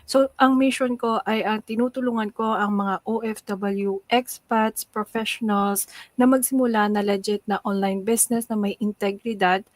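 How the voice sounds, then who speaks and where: A woman from the Philippines, a graphic designer, pitching her work with confidence. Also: no echo. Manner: confident, persuasive. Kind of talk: self-introduction pitch. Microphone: video call. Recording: dry close sound.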